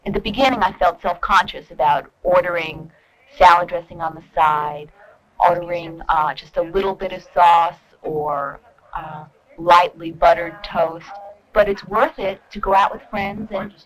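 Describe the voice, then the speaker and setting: A woman's voice: fairly low pitch, fairly even intonation, a little slow, poor audio. A woman talking casually and reflectively about her own experience in a documentary interview. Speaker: dieter giving a testimonial. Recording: 1970s documentary film soundtrack.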